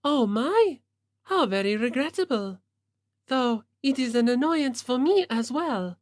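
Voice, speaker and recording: Man doing a haughty, unhurried gothic young lady. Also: extremely high pitch, expressive, no room sound, clean studio sound, voice pushed up. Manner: prim, condescending. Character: haughty, refined gothic teenage girl.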